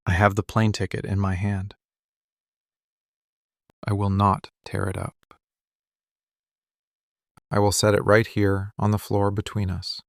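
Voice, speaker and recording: Guy doing a possessive mafia boss for boyfriend asmr, really slow, intense and weary, super dry close sound. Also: on the low side, monotone, vocal fry, no echo. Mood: restrained, resolute. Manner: slow, deliberate, intimate delivery in short phrases. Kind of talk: scripted boyfriend ASMR roleplay.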